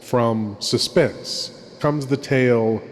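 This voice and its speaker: Man playing a slow, echoey sinister horror host. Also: medium pitch. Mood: ominous, hushed. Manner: slow, dramatic, pausing between phrases. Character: suave sinister male horror host, menacing and intimate. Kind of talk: theatrical old-time horror host monologue. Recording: echoey long reverb, background hiss.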